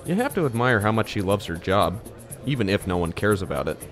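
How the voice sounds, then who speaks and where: A man acts as a serious young detective in an audio drama. His voice is deep and his delivery dry and understated, with faint music around it. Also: sing-song, brisk. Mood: dry, reserved. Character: earnest, brooding teenage boy detective, dutiful and polite.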